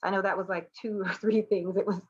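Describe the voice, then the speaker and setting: A woman's voice: medium pitch, flat delivery, a little fast, husky, highs cut off. A woman from the United States talking candidly and conversationally as an educator and panelist in a reflective discussion. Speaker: artist, educator and student panelist.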